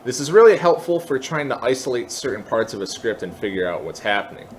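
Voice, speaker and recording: A man with a medium-pitched voice leads a tutorial in an informal, explanatory way. He thinks aloud as he moves step by step through the software. Some background noise sits under his voice. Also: sing-song, fast. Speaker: tutorial presenter.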